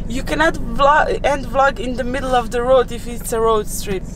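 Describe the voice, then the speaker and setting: A woman's voice: fairly high pitch, normal speed, noisy background. Cheerful woman chatting on a road trip. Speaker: co-vlogger, partner. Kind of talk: casual travel vlog chat. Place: in a car and outdoors at an outlet mall. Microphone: camera held at arm's length.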